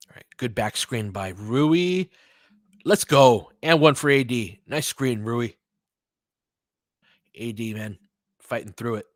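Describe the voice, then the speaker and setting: Guy doing casual basketball play by play, short choppy calls plus some coach talk, medium pitch, dry close sound. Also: takes it slowly, long pauses, no echo. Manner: clipped phrases mixed with conversational fan asides. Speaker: basketball play-by-play commentator and analyst. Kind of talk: informal live play-by-play commentary with coaching analysis.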